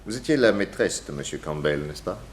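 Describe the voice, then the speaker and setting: An actor playing a methodical, probing detective who questions in a measured, pointed way, in 1960s radio drama style. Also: male voice, low-pitched, expressive, a little hiss or hum.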